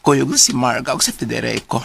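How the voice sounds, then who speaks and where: A Filipino woman playing an excitable, suspicious household driver in an exaggerated, exclamatory radio drama style. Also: very deep voice, a little fast, creaky, slight background noise, exaggerated voice.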